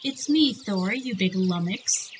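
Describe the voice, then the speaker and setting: A woman playing an irate, sharp-tongued goddess wife in an audio drama, scolding and exasperated. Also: medium pitch, expressive, takes it slowly. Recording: noticeable background noise.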